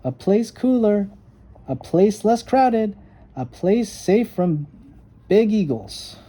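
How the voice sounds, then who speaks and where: A man's voice: high-pitched, sing-song, slow pace, mellow, voice pushed up, heavily acted. A man voicing eager, cheerful young female dassies in an emphatic storybook style. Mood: excited. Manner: exclaiming, emphatic storybook delivery.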